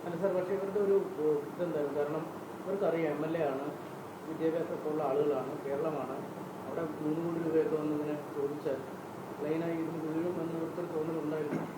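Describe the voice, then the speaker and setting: A man's voice: fairly high pitch, steady tone, quick pace. A man with a Kerala accent, a news reporter putting questions at a press briefing. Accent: Kerala Malayali. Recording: echoey, noisy room, off-mic.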